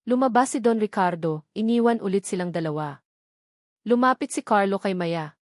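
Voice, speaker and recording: A woman's voice: medium pitch, expressive, normal speed, no echo, clean studio sound. A woman narrator reading a scripted dramatic love story.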